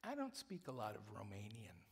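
A male preacher giving a sermon, moving between loud emphatic lines and hushed whispers. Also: fairly low pitch, huge pitch swings, normal speed, gravelly, slight background noise. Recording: long reverb of a large hall.

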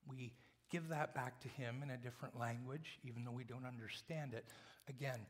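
Man, preacher, sermon, mid pitch, dips into whispers, swells to loud emphasis, long hall reverb. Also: brisk. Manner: dynamic, swings from loud emphasis to hushed whispers.

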